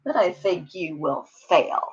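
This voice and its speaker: A mighty, contemptuous male eagle from a storybook, acted out by a woman. He sneers in a lowered voice and drags out his words. The recording is a little dull. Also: expressive. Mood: sneering. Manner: sneering, drawn-out delivery.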